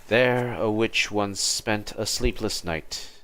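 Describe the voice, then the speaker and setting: A man livestreaming a game in a low-key, musing way, commenting casually and reading text aloud. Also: takes it slowly, a bit bright, slight background noise.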